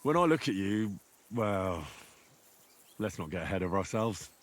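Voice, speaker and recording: An actor playing a reserved young squire with a dry, understated wit in a game cutscene. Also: male voice, sits low, big pitch swings, measured pace. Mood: wry.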